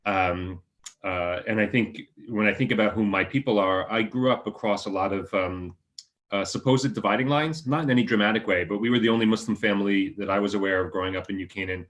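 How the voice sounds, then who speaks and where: A man from the United States, a panelist introducing himself in a discussion, speaking in a measured, hesitant way with many "uh"s. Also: lowish voice, fairly bright tone.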